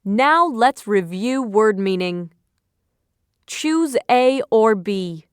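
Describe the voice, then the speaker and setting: Slow, clear-voiced woman reading quizzes. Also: fairly high pitch, animated, no room sound, studio quality. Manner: instructional, measured phrasing. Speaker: quiz teacher. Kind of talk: scripted educational quiz narration.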